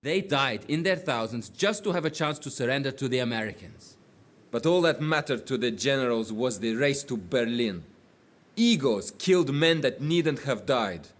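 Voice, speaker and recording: An actor playing a weary, guilt-haunted Soviet lieutenant who narrates in a grave, reflective way, over a loud orchestral score. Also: male voice, medium pitch, expressive, moderate pace, close to an everyday voice. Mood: grim. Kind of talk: video game cutscene voice acting.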